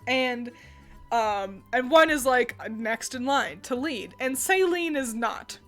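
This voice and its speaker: A young woman chatting casually as co-host, gushing with excitement and laughing often. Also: on the high side, takes it slowly, faint music behind. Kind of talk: casual chat.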